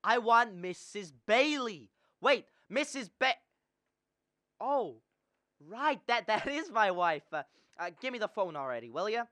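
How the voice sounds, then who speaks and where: A teenage girl acting an earnest, excitable young family man in a radio drama, with a halting, folksy manner. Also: mid-range voice. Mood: flustered. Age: teens. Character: earnest, excitable young family man running small-town loan office. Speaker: student radio drama actor.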